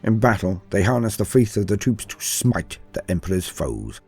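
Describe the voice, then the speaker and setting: Man, husky low register, grand dramatic narrator, swooping intonation, scripted storytelling. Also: very animated, moderate pace, a little mellow, faint music behind. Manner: theatrical, grandiose. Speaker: narrator and host of a lore channel.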